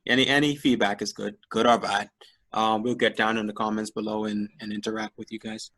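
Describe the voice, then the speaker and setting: A man's voice: lowish voice, flat delivery, a little fast, fairly bright tone. A man co-hosting a casual podcast, talking thoughtfully with frequent "you know" fillers. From Bahamas. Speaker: podcast co-host. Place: New York area, remote call.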